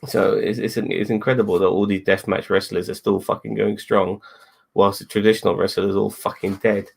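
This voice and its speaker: A man's voice: fairly low pitch, little pitch movement, a little fast, vocal fry, slightly rough. A man co-hosting a casual wrestling review, rambling, repeating himself and swearing freely. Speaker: co-host.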